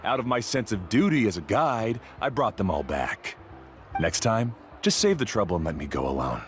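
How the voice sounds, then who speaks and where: Cocky top guide, fairly low voice with swinging delivery; game music behind. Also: male voice, big pitch swings, normal speed. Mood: boastful. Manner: self-assured, haggling.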